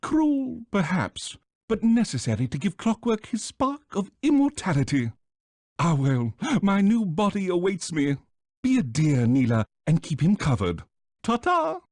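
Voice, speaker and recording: Scheming little bird villain, British accent, pompous, theatrical, wildly swooping pitch, dry studio sound, video game. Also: male voice, sing-song, a little slow, a little mellow, no echo, exaggerated voice. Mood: smug. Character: pompous, scheming, flightless male bird villain, small and elderly.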